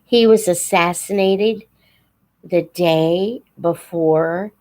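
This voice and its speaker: An American woman in her seventies shares memories in an informal, reflective way. Her voice sits slightly low and she speaks slowly. She often pauses partway through a thought and tells things as a personal story. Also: darkish tone. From United States. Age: 70s. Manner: halting, reflective, pauses mid-sentence, acts out quoted dialogue. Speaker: host of a live Q&A stream, teacher figure.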